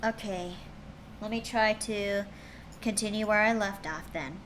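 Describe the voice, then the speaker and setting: A woman's voice: mid-range voice, unhurried, a bit bright, a little hiss or hum, low-quality recording. A woman streamer reading fan fiction aloud to her chat, chatty and tripping over words now and then.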